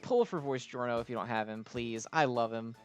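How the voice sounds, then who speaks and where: A man's voice: mid-range voice, fairly quick, faint music behind. A man hosting a gaming video with casual, gushing, enthusiastic commentary, often slipping "like" into his sentences.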